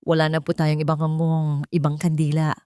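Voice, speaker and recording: A woman's voice: sits low, completely flat delivery, moderate pace, mellow, smooth, no room sound, studio quality, close to an everyday voice. A woman voicing a polite, hesitant young man in a story, with a Filipino accent and a respectful manner. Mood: gentle, reassuring.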